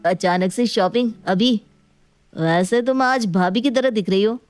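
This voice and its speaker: A woman's voice: medium pitch, a little slow, a little mellow, no echo, natural voice. A woman playing an irritated young husband who grumbles at his wife in a story. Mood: puzzled. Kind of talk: story dialogue.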